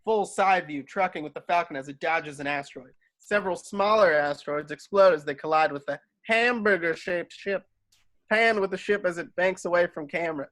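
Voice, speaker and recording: A man's voice: high voice, moderate pace, fairly bright tone. A man reading screenplay stage directions aloud as scripted narration.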